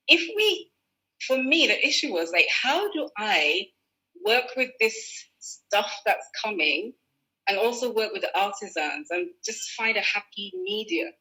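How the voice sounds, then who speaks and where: Bright-voiced woman explaining her craft. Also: mid-range voice, poor audio. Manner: thoughtful, explains with restarts and fillers. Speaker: fashion designer guest. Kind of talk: relaxed conversational interview.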